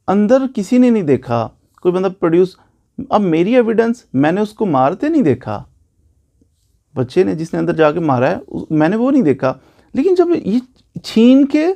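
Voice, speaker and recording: A man's voice: a little high, mellow. A man with a Pakistani accent giving an explanatory lecture, repeating key points and answering his own questions. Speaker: law lecturer and advocate. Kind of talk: explanatory lecture with everyday examples.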